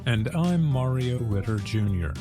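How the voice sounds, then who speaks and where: A man's voice: mid-range voice, animated, a little slow, over music. An American man hosting a scripted radio programme for learners, enunciating each phrase carefully. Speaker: programme host. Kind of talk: slow scripted radio introduction.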